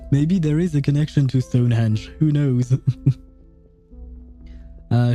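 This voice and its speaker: Dark, mellow-voiced man rambling on stream. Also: medium pitch. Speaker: game streamer. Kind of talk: casual livestream commentary.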